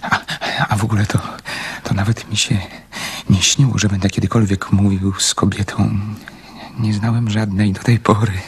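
An actor playing a shy, lonely, romantic young man who speaks haltingly and stammers in a radio drama. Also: lowish voice, level tone, a little hiss or hum, natural voice. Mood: nervous, shy. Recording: music playing under the radio play.

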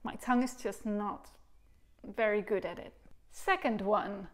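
A woman's voice: mid-range voice, a little slow. A woman in her thirties with a Dutch accent, a recorder teacher patiently explaining articulation and sounding out syllables in a living room. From Netherlands. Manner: explains patiently and demonstrates syllables aloud with gestures. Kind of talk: demonstration lesson. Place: living room, seated on a sofa.

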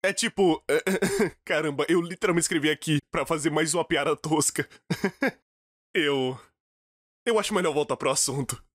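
A man with a Brazilian accent narrating a comedic animated story in a theatrical, exaggerated way. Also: moderate pace, pauses often, no room sound, studio quality. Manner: theatrical, exaggerated, swinging from low mutters to shrill outbursts.

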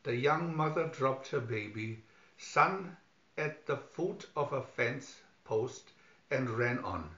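Male storybook narrator, slow scripted children's read, lo-fi sound. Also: mid-range voice, animated, bright, forceful tone. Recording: dull lo-fi recording, roomy echo.

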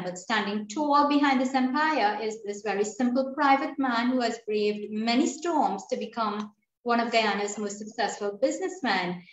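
A woman hosting a video meeting, introducing a guest in a measured, formal way. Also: mid-range voice, a little hiss or hum. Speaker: meeting host. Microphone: video call. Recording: muffled echoey video call audio.

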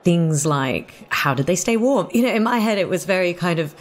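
A woman with a distinctly low-pitched voice talks in a casual, reflective way. She sounds conversational, as in an informal discussion. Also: animated, moderate pace. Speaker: audio drama director.

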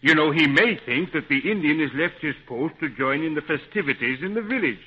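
Good natured old-time radio pilot, folksy, bit husky, dull 30s recording. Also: male voice, on the high side, lively intonation, brisk. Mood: cautious, concerned. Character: good-natured adult male pilot, protective of youngsters. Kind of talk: 1930s radio serial acting.

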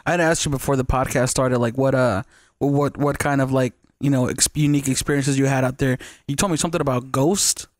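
A man's voice: medium pitch, steady tone, a little fast, hardly any pauses, no room sound, clean studio sound. A young man, a native Spanish speaker, hosting a podcast in a casual, slangy, joking way. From Las Vegas, grew up partly in Baja California. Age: young adult. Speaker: podcast host. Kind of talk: casual chat. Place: small podcast studio, indoors. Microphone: close studio microphone on arm, headphones.

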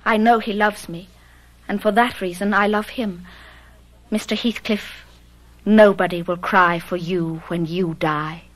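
A woman's voice: medium pitch, fairly even intonation, measured pace, close to an everyday voice, low-quality recording. An actress playing a spirited, defiant but tender-hearted young lady in a radio drama, speaking earnestly. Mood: pleading, resolute.

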